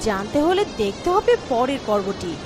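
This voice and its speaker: A woman's voice: a little high, big pitch swings, moderate pace, over music. A woman giving scripted storytelling narration for a fairy-tale cartoon.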